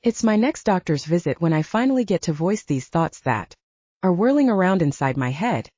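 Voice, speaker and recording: A woman's voice: on the low side, big pitch swings, normal speed, mellow, no echo, muffled recording. A woman reading a first-person novel aloud as an audiobook narrator in a scripted storytelling style.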